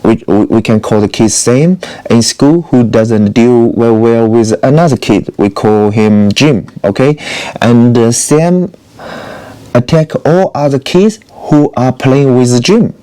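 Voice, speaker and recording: A man's voice: mid-range voice, takes it slowly, mellow, low-quality recording. A man whose first language is Chinese, giving casual opinion commentary with hesitant phrasing, frequent fillers and restarts, and wry rhetorical questions. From China. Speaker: video commentator.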